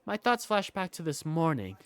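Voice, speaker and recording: A narrator reading first-person scripted visual novel story text in an expressive storytelling manner. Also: mid-range voice, normal speed, clean tone, no room sound. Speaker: narrator and lead character.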